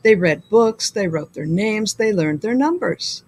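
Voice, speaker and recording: A woman reading a children's story aloud like a school librarian, with deliberate, expressive delivery. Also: mid-range voice.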